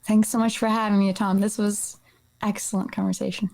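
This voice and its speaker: Creaky-voiced woman from Iceland, mellow tone. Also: medium pitch, flat delivery, a little fast. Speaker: guest, nutrition practitioner. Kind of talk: casual explanatory interview talk.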